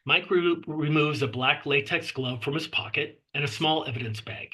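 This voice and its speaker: Bright, husky male script narrator. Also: a little high, normal speed. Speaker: narrator reading stage directions.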